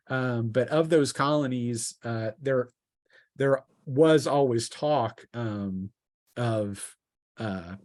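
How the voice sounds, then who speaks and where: A man running a tabletop game as game master, improvising narration in a halting, piece-by-piece way. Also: mid-range voice, no room sound, clean studio sound. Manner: halting, piecing descriptions together aloud.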